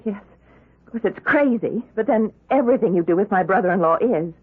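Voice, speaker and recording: A woman's voice: medium pitch, expressive, brisk, husky. An actress playing a breezy, forthright woman doctor in an old-time radio drama, with a wry, teasing manner. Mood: concerned. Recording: muffled narrow-band old radio recording.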